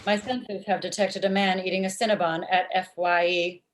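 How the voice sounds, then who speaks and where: A woman in her thirties playing a blunt, literal-minded, murderous office robot in a comedy table read, with deadpan, formal phrasing. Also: fairly low pitch, moderate pace, close to an everyday voice, rough recording. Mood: deadpan, judgmental. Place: indoor room at home, video call. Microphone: video call.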